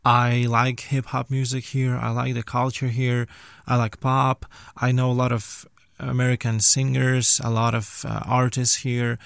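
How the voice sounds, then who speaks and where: A man's voice: medium pitch, level tone, measured pace, creaky, a bit bright, clear, resonant tone, dry recording, studio quality. A man in his twenties from western Ukraine, a native Ukrainian speaker, answering questions as an interview guest in a conversational way. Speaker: interview guest, language teacher and student.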